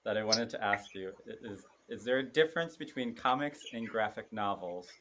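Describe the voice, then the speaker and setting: A man hosting an interview podcast. His voice sits on the low side. He speaks in a friendly way but a little haltingly, with some ums and uhs. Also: a crowd in the background. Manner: friendly, slightly halting with fillers. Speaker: podcast host.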